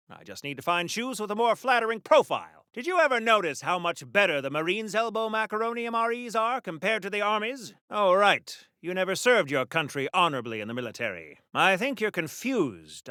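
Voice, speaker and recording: Hammy, high-pitched 1940s patriotic superhero. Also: male voice, very animated, a little fast, hardly any pauses, pushed and bright, dry recording, clean studio sound, full character voice. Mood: indignant. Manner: theatrical, declamatory, old-fashioned hero diction. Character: pompous, patriotic 1940s war-veteran superhero, hammy and earnest. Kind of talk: old-time 1940s radio serial acting.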